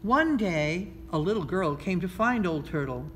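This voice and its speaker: A woman's voice: fairly low pitch, lively intonation, a little slow, fairly bright tone. Woman reading a children's story, noisy background. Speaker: story reader. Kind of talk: expressive children's storybook narration. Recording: clearly noisy background ambience.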